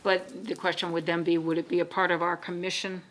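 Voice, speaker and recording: A woman chairing a town commission meeting, moving briskly through agenda items. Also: fairly low pitch, level tone, a bit bright. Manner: brisk, procedural.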